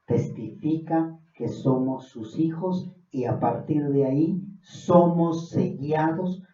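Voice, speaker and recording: A Mexican man preaches, his voice sitting fairly high and turning earnest and fervent as he presses a point. He is heard from a distance in a roomy church hall, and the recording sounds dull and muffled. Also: takes it slowly, warm tone. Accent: Mexican Spanish. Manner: earnest, rising to fervent emphasis. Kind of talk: Bible study sermon. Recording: distant, dull, echoey room.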